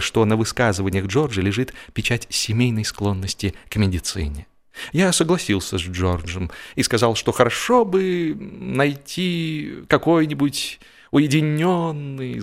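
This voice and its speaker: A man narrating a humorous literary audiobook with expressive, theatrical phrasing and mock seriousness. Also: mid-range voice.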